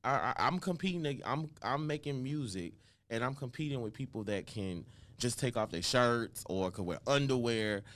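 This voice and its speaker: A man's voice: mid-range voice, animated, moderate pace, few pauses, fairly bright tone. A man, a rapper, being interviewed in a radio studio, talking emphatically and confidently. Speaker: rapper and guest. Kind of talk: casual interview.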